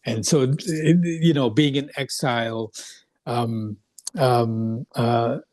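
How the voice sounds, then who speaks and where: Man, hesitant, halting, fillers and restarts, dry room. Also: mid-range voice, steady tone, darkish tone, dry recording. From Burma, raised in Thailand. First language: Thai. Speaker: artist. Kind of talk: panel talk.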